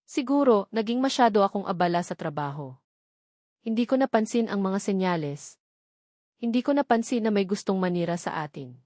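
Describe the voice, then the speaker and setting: Wounded, gentle husband, read by a woman. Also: medium pitch, animated, moderate pace, dry recording, clean studio sound, close to an everyday voice. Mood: regretful.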